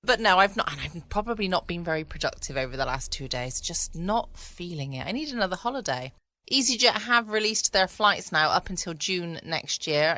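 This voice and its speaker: A woman's voice: fairly low pitch, sharp tone, no echo. A woman hosting a casual bedtime podcast from home. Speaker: podcast host. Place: at home, indoors.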